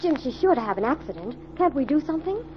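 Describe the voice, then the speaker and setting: An earnest young American assistant in a vintage radio mystery, anxious and concerned. Her voice is high and swoops expressively. The old recording is dull and clearly noisy. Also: sing-song, quick pace. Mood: alarmed. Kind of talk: 1940s radio acting.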